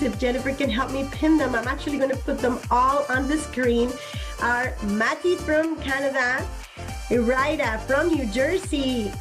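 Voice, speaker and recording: Host, woman, upbeat, talks straight to the viewers, video call with clear music in the background. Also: high voice. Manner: upbeat, addresses the audience directly. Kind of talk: hosting an online panel.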